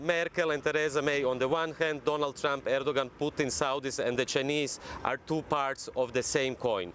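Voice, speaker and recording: A man's voice: fairly high pitch, a bit bright, slight background noise. A man in his thirties from Croatia with a Croatian accent, earnestly arguing his points in a live news interview from outdoors. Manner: earnest, argumentative, lists examples. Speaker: guest commentator. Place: outdoors on a city street.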